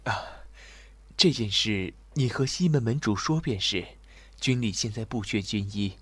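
A man's voice: on the low side, expressive, unhurried, slightly rough, no room sound. A man playing a weary, reserved young general in an ancient Chinese audio drama, speaking formally and courteously. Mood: courteous, composed.

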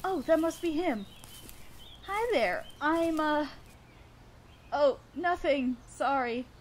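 A woman's voice: sits high, expressive, slow pace, a little hiss or hum. A woman playing an awkward, flustered young student council president in a romantic audio roleplay, with a hesitant, earnest delivery.

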